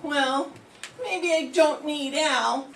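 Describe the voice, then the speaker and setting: A woman's voice: high voice, very slow, vocal fry, full character voice. An older woman in a class radio-play reading, playing a naive, scatterbrained young newspaper columnist who delivers her lines earnestly. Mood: dreamy. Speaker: student performer in radio-script class. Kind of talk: amateur old-time radio play reading. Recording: roomy classroom, far from mic, faint hiss.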